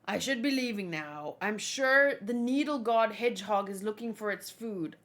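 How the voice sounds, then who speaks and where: A woman plays a hurried servant of a castle in a manga read-along. The voice is bright and matter-of-fact, turning ominous as it warns travellers about the land. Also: medium pitch, animated, natural voice. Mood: grave warning.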